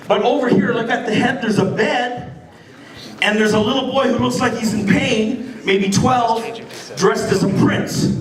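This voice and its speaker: A middle-aged Canadian man with a high voice tells a story on stage in a loose, slangy, animated way. He is heard in an echoey space with an audience crowd around him. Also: recorded from a distance. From Canada, near Detroit on the Canadian side. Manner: animated, slangy, informal, acts out dialogue. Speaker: b-boy storyteller. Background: audience crowd and laughter.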